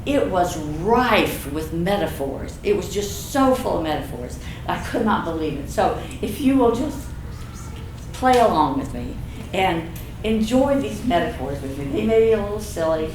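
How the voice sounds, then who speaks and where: An older woman with a deep, slightly husky voice, a community speaker opening her talk in a playful, enthusiastic way. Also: lively intonation, normal speed. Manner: playful, enthusiastic, self-deprecating. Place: event room with audience. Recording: echoey room, audible noise.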